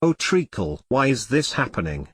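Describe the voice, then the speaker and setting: A man's voice: mid-range voice, big pitch swings, normal speed, fairly bright tone, husky, dry recording, clean studio sound. A synthetic male text-to-speech voice playing a melodramatic, easily flustered computer turned elephant in an audio comedy drama. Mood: melodramatic dismay. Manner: theatrical complaining in synthetic text-to-speech delivery.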